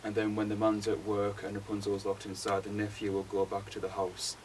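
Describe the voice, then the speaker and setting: A young man, a college student giving an informal class presentation in a flat, hesitant way. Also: on the low side, fairly quick. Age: young adult. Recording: distant mic, faint room noise.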